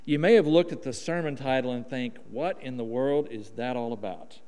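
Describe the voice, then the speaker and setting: A man's voice: mid-range voice, warm tone, clean tone. A man in his fifties from Oklahoma with a Southern drawl, preaching a folksy, emphatic sermon as a guest preacher in a church. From Oklahoma, USA. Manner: folksy, emphatic, drawn-out vowels. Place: church sanctuary. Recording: reverberant church hall.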